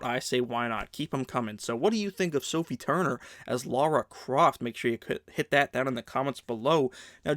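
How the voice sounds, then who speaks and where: A man's voice: medium pitch, fairly bright tone, dry recording, studio quality. A man hosting a casual movie news show, chatty and opinionated, sounding congested from a sinus infection. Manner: chatty, opinionated, many fillers and restarts. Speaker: host.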